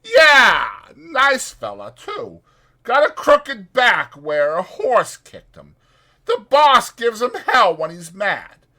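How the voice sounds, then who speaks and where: A man's voice: very high-pitched, huge pitch swings, slow, sharp tone, voice pushed up, full character voice. A man voicing a chatty old ranch swamper with rambling, folksy speech for a dramatized audiobook. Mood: excited, gossipy.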